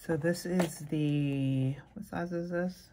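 A soft-spoken woman chatting casually as a vlogger, murmuring her thoughts quietly as she talks. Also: sits low, steady tone, takes it slowly, background noise. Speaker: fragrance vlogger. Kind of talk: casual chat.

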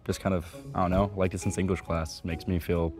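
A man's voice: sits low, flat delivery, a little fast, loud music behind the voice. A man in his twenties speaking earnestly about caring for people. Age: 20s.

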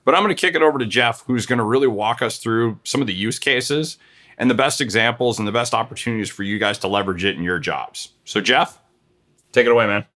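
A man's voice: medium pitch, fast talker, sharp tone. An American man in his forties presenting a product tutorial in an upbeat, informal way. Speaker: sales director presenting tutorial. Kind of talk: presenter talk to camera. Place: furnished living room of a house, indoors.

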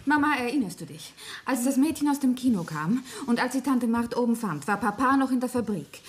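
A young actress playing a shocked, protesting daughter in a radio play, hesitant, earnest and emotional. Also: female voice, fairly high pitch, lively intonation, a little fast, natural voice. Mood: disbelieving. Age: young adult. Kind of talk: German radio play acting.

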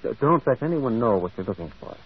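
An actor playing a gentle, shrewd priest detective in a radio drama, musing and deliberate, quietly insistent. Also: male voice, medium pitch, sing-song, a loud crowd. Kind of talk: old radio drama acting. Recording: muffled, tinny vintage radio broadcast.

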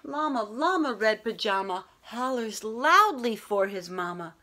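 A woman's voice: a little high, expressive, unhurried, bright, forceful tone, a little hiss or hum. A middle-aged woman reading a picture book aloud to children, expressively, drawing out some words. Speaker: read-aloud host. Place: room at home, indoors.

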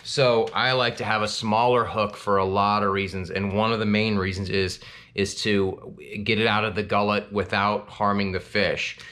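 A man's voice: lowish voice, normal speed. Easygoing man rambling about fishing gear. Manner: relaxed, rambling, with frequent ums and restarts. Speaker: fishing channel host. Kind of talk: casual gear talk to camera. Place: small home office indoors.

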